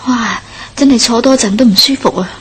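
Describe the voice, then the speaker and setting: A woman's voice: a little high, fairly quick, slightly rough. An actress playing an uneasy, impatient village woman who nags and frets in a Hong Kong Cantonese horror radio drama. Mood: uneasy, fretful. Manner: fretful, nagging.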